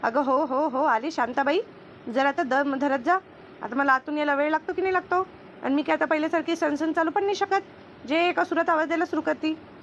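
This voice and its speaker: Woman voicing high, chummy village housewife. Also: a little fast, dull audio, slight background noise. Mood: mildly grumbling. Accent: rural Maharashtrian. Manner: chatty, homely, familiar. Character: slower-moving village housewife Renu, grateful, chummy best friend. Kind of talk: comedy cartoon dubbing.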